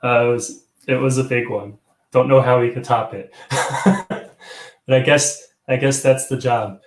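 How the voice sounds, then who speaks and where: A man's voice: mid-range voice, steady tone, measured pace. A man hosting a live online theater talk show over a video call, chatting casually and rambling with many restarts and chuckles. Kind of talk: casual chat. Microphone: video call stream. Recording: roomy echo.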